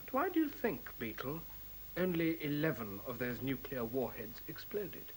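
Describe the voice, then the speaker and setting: An actor playing an older scientist in a radio play, reasoning patiently in a precise, didactic, deliberate way. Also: male voice, mid-range voice, big pitch swings, natural voice, poor audio. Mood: patient, pointed. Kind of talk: radio drama acting. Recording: hissy old radio play recording.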